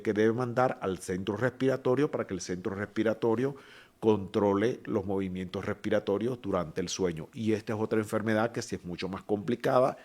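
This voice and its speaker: A Cuban man talks through a medical explanation in a lowish voice. He is patient and methodical. He fills pauses with frequent hesitations and often restarts his sentences. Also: a little mellow. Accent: Cuban Spanish. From Cuba. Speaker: physician guest. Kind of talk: explanatory medical podcast talk.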